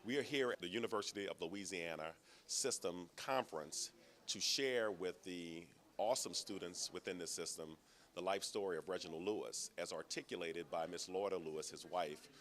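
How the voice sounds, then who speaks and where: A university system official speaking earnestly to camera, with lofty, inspirational phrasing. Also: male voice, deep voice, normal speed, a bit bright. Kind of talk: interview statement to camera.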